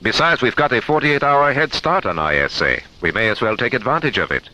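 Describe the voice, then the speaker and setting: A man playing a determined, authoritative research professor in a science-fiction radio drama, with firm, deliberate delivery. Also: medium pitch, big pitch swings, bright, forceful tone, a little hiss or hum, poor audio.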